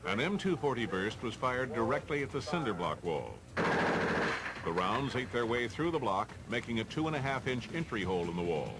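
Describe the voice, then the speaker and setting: Male narrator, American accent, medium pitch, scripted training film delivery, formal, matter-of-fact, clear music bed.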